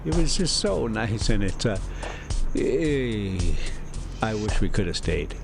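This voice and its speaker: Slow-talking man telling stories, low voice. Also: very animated, creaky. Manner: informal, folksy, lightly profane. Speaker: host and storyteller. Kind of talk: casual narration alternating with reading a classic novel aloud. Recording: music behind the voice. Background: music.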